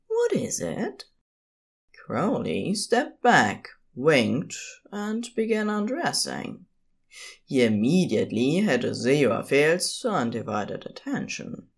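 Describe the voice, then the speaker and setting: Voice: mid-range voice, very animated, takes it slowly, vocal fry, slightly rough. A narrator reading scripted fan fiction expressively, as in an audiobook. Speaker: podfic narrator.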